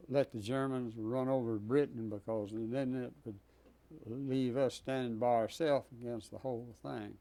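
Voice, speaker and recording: An old man in his nineties tells his story in a warm, darkish voice. He speaks haltingly, with many pauses and filler sounds, like a veteran interviewee recalling his youth. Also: mid-range voice. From Texas. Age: 90s. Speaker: Navy veteran guest. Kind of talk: oral history interview.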